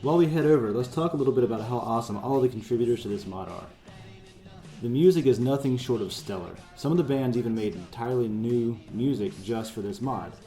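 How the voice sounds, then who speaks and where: A man's voice: mid-range voice, fast, warm tone, faint music behind. A man presenting his own project in casual, conversational promotional narration. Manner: informal, conversational.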